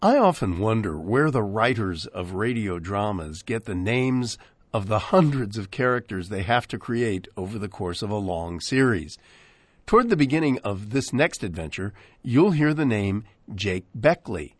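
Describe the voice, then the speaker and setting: A man's voice: fairly low pitch, lively intonation, normal speed, husky, dry recording. An American man hosting a radio show with a scripted but conversational introduction and playful, theatrical emphasis.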